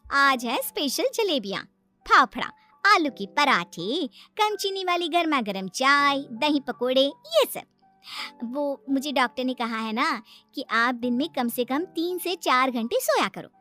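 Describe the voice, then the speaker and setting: A woman's voice: high voice, big pitch swings, a little slow, sharp tone, soft background music, full character voice. A woman voicing a cunning young daughter-in-law, a sugary and theatrical schemer in a moral story cartoon. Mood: sugary, evasive.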